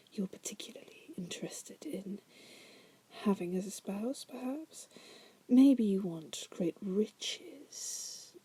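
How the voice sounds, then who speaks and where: Woman playing a dark, breathy, whispery demoness. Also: mid-range voice, no room sound, heavily acted. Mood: seductive, coaxing. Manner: teasing, musing, drawling asides with soft chuckles. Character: wry, seductive female demon prisoner, patient deal-maker. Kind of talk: ASMR roleplay.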